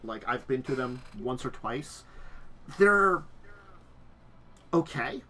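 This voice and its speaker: A man's voice: mid-range voice, expressive, slow, pushed and bright. A man hosting a casual tabletop gaming talk show, chatty and self-deprecating, laughing often. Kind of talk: casual chat.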